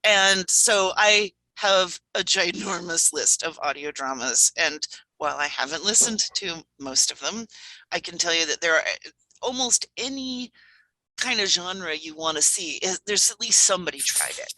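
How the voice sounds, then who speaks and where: A woman's voice: lowish voice, expressive, moderate pace, sharp tone, dry recording, rough recording. A woman talking casually on a panel, chatty and emphatic, as an audio drama writer.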